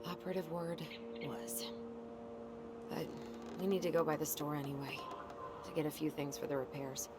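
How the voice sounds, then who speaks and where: A woman's voice: low-pitched, fairly even intonation, moderate pace, long pauses, very noisy, close to an everyday voice. A woman playing a guarded, exasperated young adult sister in naturalistic video game dialogue.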